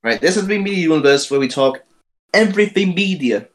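Man, casual podcast co-host, lively swooping intonation, slightly high voice, halting delivery.

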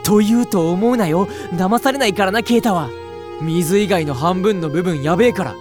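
A woman voicing a cheerful, bossy teenage boy who acts as a big brother, talking in a brisk, joking, scolding way. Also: lowish voice, music in the background. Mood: upbeat. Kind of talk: anime-style radio drama acting.

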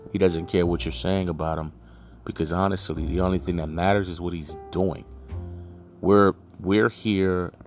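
A man speaks in a deep, low-pitched voice over faint music. He hosts a casual solo podcast and gives his opinions bluntly and emphatically, in loose colloquial phrasing. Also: darkish tone. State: just woke from a nap. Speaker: podcast host.

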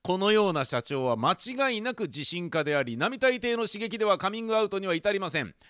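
A man's voice: high voice, big pitch swings, brisk, fairly bright tone, clean tone, no room sound, studio quality. A man playing an earnest, exasperated department manager in a comedic radio drama, speaking with theatrical emphasis. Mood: eager, emphatic. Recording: music behind the voice.

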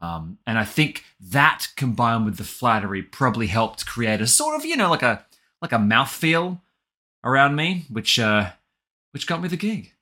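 An Australian man talking reflectively and articulately in an interview, with an animated storytelling way about him. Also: medium pitch, moderate pace. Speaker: guest author and audio drama writer.

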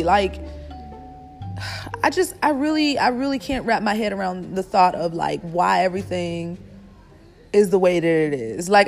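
A woman in her twenties hosting a solo podcast, ranting bluntly and emphatically with a lot of swearing. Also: fairly low pitch. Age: 20s. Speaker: podcast host. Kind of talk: casual solo podcast rant.

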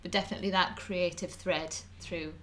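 A woman's voice: lowish voice, fairly even intonation, normal speed, a bit bright, a little hiss or hum. A woman interviewing a guest, asking questions and giving brief encouraging affirmations.

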